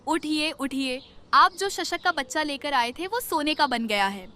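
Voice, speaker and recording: A woman playing a greedy, nagging village wife with a sharp tongue in a cartoon moral story. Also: high voice, animated, measured pace, full character voice. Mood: amazed. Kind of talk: animated moral story acting.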